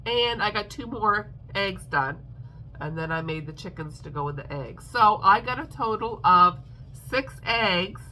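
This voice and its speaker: A woman's voice: mid-range voice, bright, forceful tone, slight background noise, low-quality recording. A woman crochet vlogger chatting casually at home, stuffed up and congested, rambling with lots of ums and little laughs. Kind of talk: casual chat to camera.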